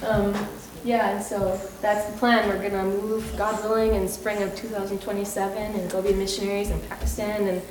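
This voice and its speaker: A woman's voice: medium pitch, flat delivery, fairly quick, few pauses, a little mellow. An American woman in her thirties giving a chatty personal testimony at church, full of fillers and quoted dialogue. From United States. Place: church hall. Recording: echoey room, mic at a distance.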